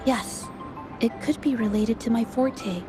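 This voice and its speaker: A woman acts as a gentle young female investigator in a story game. Her voice sits fairly high, and she reasons things out in measured, polite phrases. Clear background music plays beneath her. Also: natural voice. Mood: thoughtful, analytical. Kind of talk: video game story voice acting. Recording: music under the voice.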